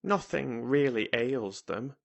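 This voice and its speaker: A man's voice: medium pitch, takes it slowly, gravelly. A man voicing a frail young boy with an eager, commanding manner, in a storybook reading. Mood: earnest.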